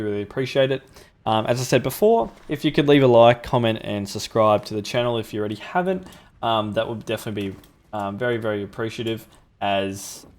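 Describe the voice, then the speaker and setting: A young man with a sore throat, casually talking through a factory-building game as the channel's host, thinking aloud with ums. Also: mid-range voice. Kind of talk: gameplay commentary.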